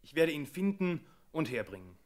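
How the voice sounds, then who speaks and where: A man's voice: a little high, sing-song, moderate pace. An actor playing a brusque, impatient king's servant giving orders in a biblical radio play. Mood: businesslike. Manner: commanding, impatient. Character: brusque, imperious male servant of a tyrant king. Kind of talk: German radio play acting.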